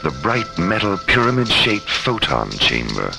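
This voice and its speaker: A man playing the measured, dramatic narrator of a science fiction radio serial. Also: lowish voice, huge pitch swings, vocal fry, exaggerated voice, low-quality recording. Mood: suspenseful. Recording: loud score behind voice. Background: music.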